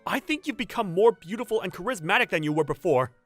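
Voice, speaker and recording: Man playing animated, polite young junior employee. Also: high-pitched, quick pace, faint music behind. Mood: flustered, wary. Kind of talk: manga dub dialogue and first-person narration.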